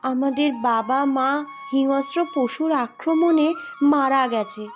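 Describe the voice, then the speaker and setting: Bossy eldest princess, high and slow. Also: female voice, soft background music. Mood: scolding, sly. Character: eldest princess sister, protective, quick-witted and bossy. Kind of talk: cartoon fairy-tale voice acting.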